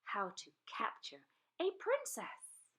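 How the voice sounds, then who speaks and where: A woman's voice: a little high, wildly swooping pitch, takes it slowly, a bit bright, husky, full character voice. A woman voicing a bossy, grand female dragon schoolteacher, emphatic and encouraging, in a children's storybook. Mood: commanding. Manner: commanding, emphatic, theatrical. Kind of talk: children's storybook read-aloud.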